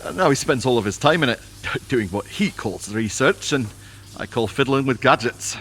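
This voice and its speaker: A man's voice: medium pitch, expressive, moderate pace, a bit bright, breathy edge. A man acting a stubborn, friendly ex-army groundsman and husband in an audio drama, in a homely, hesitant, plain-spoken way. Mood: hesitant, explanatory.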